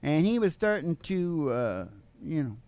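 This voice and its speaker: A man hosting a comedic talk radio show, rambling in a folksy, irreverent way with halting digressions. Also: a little high, big pitch swings, a little mellow.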